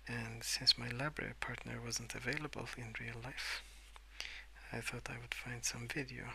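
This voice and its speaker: Young man, soft-spoken ASMR, breathy half-whisper, very sharp sibilant edge, gravelly fry, unhurried sleepy rambling. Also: mid-range voice, level tone, a little hiss or hum, poor audio. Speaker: ASMR video creator.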